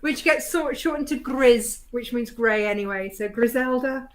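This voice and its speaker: Cheerful woman sewing guest. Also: a little high. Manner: cheerful, quick, chuckling, shows steps as she goes. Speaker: sewing pattern designer, guest. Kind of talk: casual demonstration chat.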